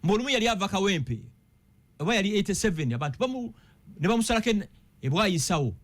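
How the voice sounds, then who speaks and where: A Ugandan man on a radio talk show, talking politics in an animated way and firing one rhetorical question after another. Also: high-pitched, fast. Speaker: political commentator.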